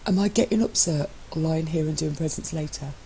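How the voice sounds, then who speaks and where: A woman's voice: sits low, fairly quick, warm tone, a little hiss or hum. A woman voicing a cheeky, stubborn, submissive husband in a story reading, reciting things in a pedantic, formal manner.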